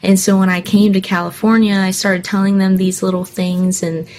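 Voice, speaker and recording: A woman's voice: mid-range voice, flat delivery, moderate pace, darkish tone. A young woman from Hawaii, a singer telling her life story in an interview, candid and earnest, with frequent fillers. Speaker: singer guest. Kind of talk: personal interview answers.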